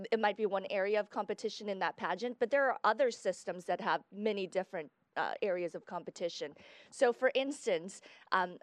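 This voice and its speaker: A woman's voice: mid-range voice, no room sound. Chatty woman from Guatemala, dry sound. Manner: chatty, explains at length with fillers. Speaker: guest. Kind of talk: casual interview chat.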